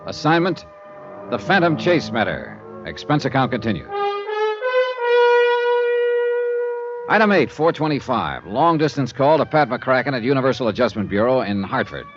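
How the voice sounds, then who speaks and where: A man's voice: mid-range voice, very animated, fairly quick, over loud music, natural voice. An actor playing a wry, world-weary male insurance investigator who narrates his own case in a 1950s radio drama. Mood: dry. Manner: wry, laconic first-person narration. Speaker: narrator and lead character. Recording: dull old radio transcription.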